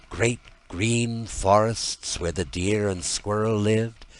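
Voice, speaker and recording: A man narrating a scripted fairy tale as an audiobook, in a dramatic and deliberate storytelling manner. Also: low voice, slight background noise.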